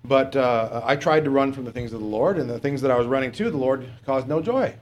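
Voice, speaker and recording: A middle-aged man preaching a sermon in a plain, halting way, asking rhetorical questions and pausing on fillers. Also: mid-range voice, animated.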